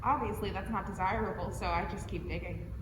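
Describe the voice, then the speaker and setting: A woman's voice: medium pitch, fairly even intonation, fairly quick, natural voice. A young woman acting in a student stage play as an exasperated, guilt-ridden girlfriend who rants and swears. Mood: anguished ranting. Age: young adult. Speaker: student actor. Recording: echoey hall, distant mic, noisy.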